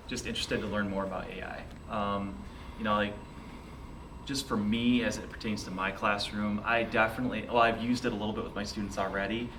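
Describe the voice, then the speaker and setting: A male instructor casually introducing himself and talking to a group. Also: lowish voice. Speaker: biosciences instructor. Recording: echoey noisy room, distant mic.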